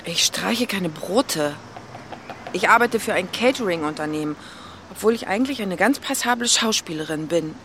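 A woman's voice: on the low side, animated, moderate pace, a bit bright, a little hiss or hum. An actress playing a nervous, candid young woman in a radio play, with a hesitant, confiding manner. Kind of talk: naturalistic radio play dialogue.

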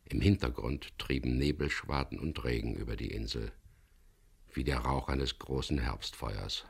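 An actor playing a resolute, daring hero who also narrates his story in a gravelly voice in a radio crime drama. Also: very deep voice, unhurried, exaggerated voice. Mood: measured narration.

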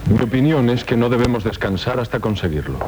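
An actor playing an earnest young Castilian knight in a radio drama, measured and firm, with a Castilian Spanish accent. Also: male voice, lively intonation, close to an everyday voice. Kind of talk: 1970s radio drama acting. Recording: noisy 1970s radio recording with rumble.

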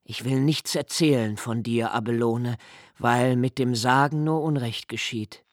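An actor playing a reflective, melancholy diarist in a literary radio play, speaking intimately and pensively with halting phrasing. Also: male voice, mid-range voice, natural voice. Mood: tender, wistful. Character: reflective, melancholy male diarist recalling love and childhood.

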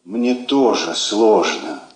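An actor playing a middle-aged military husband, weary, lonely and wistful, in a theatrical radio drama. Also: male voice, fairly low pitch, expressive, slow pace, roomy sound, low-quality recording.

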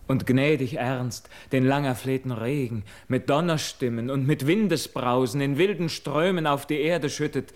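A man's voice: mid-range voice, natural voice. An actor playing a haunted young nobleman freed from a curse, declaiming fervently in a classical radio verse drama.

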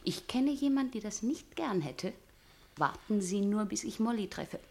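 A woman's voice: mid-range voice, animated, unhurried, a little mellow. An actress playing a roguish married lady in a German radio play, arch and coquettish in mock scolding. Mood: teasing. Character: roguish, teasing married lady, an old acquaintance.

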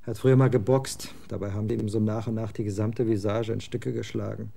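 A scornful young rocker son of twenty, blunt slang, gravelly dark voice; radio play. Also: male voice, lowish voice. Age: 20s. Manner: slangy, scornful, quick to get excited. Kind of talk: German radio drama acting.

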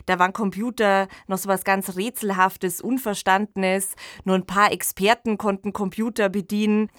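A woman's voice: mid-range voice, no echo, studio quality. A woman hosting a podcast, giving conversational commentary in an informal, explanatory way. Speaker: podcast host.

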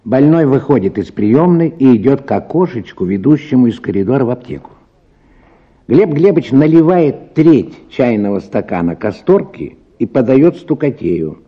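A man narrating a short story in an expressive, scripted radio drama style. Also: medium pitch, normal speed, husky, highs cut off.

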